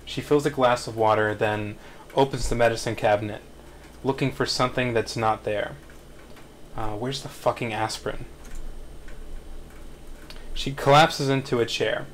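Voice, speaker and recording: A man's voice: mid-range voice, steady tone, normal speed, pauses often. A man reading stage directions as narrator at a script table read. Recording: faint background noise.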